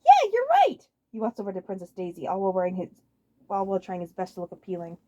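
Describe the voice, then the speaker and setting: A woman's voice: fairly low pitch, level tone, rapid-fire, mellow. A woman reading fan fiction aloud as a scripted story narrator on a home microphone.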